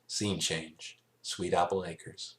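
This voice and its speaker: A man reading scripted story narration as an amateur, in a halting way, stumbling and rereading phrases. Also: deep voice, level tone, a bit bright. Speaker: amateur fan fiction reader.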